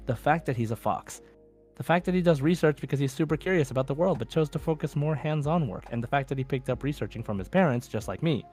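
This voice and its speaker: A man's voice: mid-range voice, a little mellow. Casual chatty man hosting a podcast. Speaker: podcast host. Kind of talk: casual podcast chat, reading out listener messages.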